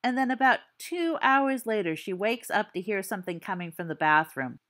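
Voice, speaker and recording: A woman's voice: mid-range voice, expressive, moderate pace, fairly bright tone, lightly acted. A woman voicing a cocky teenage boy who tells a spooky story in a theatrical, teasing way.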